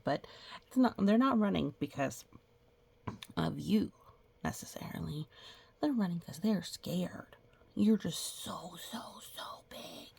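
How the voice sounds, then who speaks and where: A woman reading a children's picture book aloud, with playful sound effects and casual, gentle explanatory asides. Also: medium pitch, creaky, husky. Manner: explains gently to young listeners.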